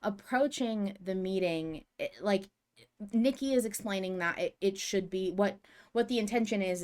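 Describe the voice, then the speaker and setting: A woman's voice: on the low side, smooth. A woman co-hosting a podcast in casual discussion, speaking in an earnest, emphatic way. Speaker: podcast co-host.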